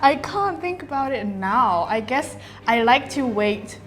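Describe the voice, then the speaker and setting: A woman from China chatting casually, playful and quick to giggle. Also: fairly high pitch, animated, a bit bright. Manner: giggly, playful, self-teasing. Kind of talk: casual chat.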